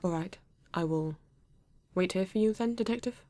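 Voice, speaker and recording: A woman voicing a polite, formal male android detective in an ASMR roleplay, with a British accent and stiff, measured phrasing. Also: medium pitch, mellow, dry recording, lightly acted.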